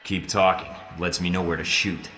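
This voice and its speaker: An actor playing a tough, defiant young spy in a video game, speaking in a terse, sardonic way. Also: male voice, low voice, level tone, a little fast, pushed and bright. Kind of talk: video game cutscene acting. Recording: roomy echo, music behind.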